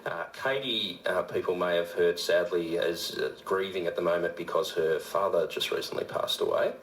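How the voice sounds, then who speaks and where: Deep-voiced Australian man presenting radio. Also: normal speed, fairly bright tone, echoey room, a little hiss or hum, poor audio. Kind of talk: casual on-air radio chat.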